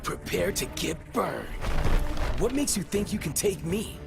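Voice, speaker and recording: A man's voice: high-pitched, lively intonation, a little slow, vocal fry, exaggerated voice. A man playing a cocky young fire-powered hero in video game dialogue. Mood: cocky, defiant. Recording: music behind the voice.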